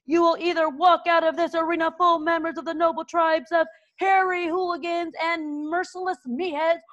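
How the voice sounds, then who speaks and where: A woman voicing a bad-tempered male Viking trainer who yells nasty, bossy orders in a high, loud voice.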